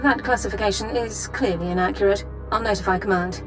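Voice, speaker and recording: A woman's voice: mid-range voice, fairly quick, close to an everyday voice. An actress voicing a strict, formal female army sergeant who gives precise, clipped military reports in a game cutscene. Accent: Imperial-sounding. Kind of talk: video game cutscene voice acting. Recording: game audio with music bed.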